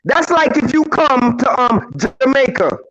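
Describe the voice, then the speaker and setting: A man in his forties from Brooklyn, calling into a live stream and giving animated, heated street-talk commentary, always adding "you know what I'm saying". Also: very high voice, unhurried, dull audio. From Brooklyn, New York. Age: 40s. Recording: roomy, noisy call audio that cuts in and out.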